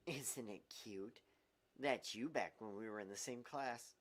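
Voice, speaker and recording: A woman as a low-voiced, smug young male blackmailer. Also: unhurried. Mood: smug, taunting. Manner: sly, teasing.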